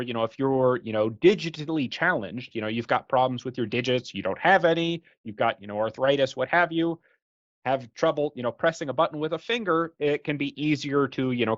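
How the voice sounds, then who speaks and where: An American man talks through a product presentation in a casual, conversational manner. His voice sits at an average pitch and he peppers his explanations with "you know". The recording is very dry and a little dull, with lo-fi sound. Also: normal speed, no room sound. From United States.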